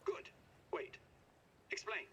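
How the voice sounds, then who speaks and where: An actor playing an impatient, condescending scientist in a game audio log, curt and commanding with dry pomposity. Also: male voice, slow pace, bright, forceful tone, whispered. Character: impatient, condescending male scientist, dryly sardonic.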